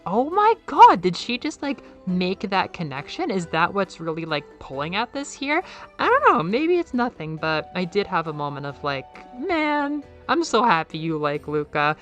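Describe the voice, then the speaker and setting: A young woman YouTuber talking casually in a soft, airy, slightly nasal voice, excitable and rambling, her pitch leaping up when she quotes people. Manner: enthusiastic, excitable, jumps up in pitch when quoting; rambling with fillers and repeated words. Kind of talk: casual video essay.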